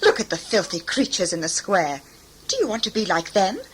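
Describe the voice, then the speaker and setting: A woman's voice: mid-range voice, big pitch swings, moderate pace, a little hiss or hum, natural voice, rough recording. A woman playing a strict, formal mentor scolding a young priestess in a sci-fi audio drama. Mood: stern, scolding.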